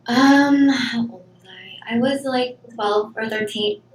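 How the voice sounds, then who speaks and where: A woman in her twenties, from the Philippines, answering interview questions softly and hesitantly, with many pauses and ums. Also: a little high. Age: 20s. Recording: echoey room, faint background noise.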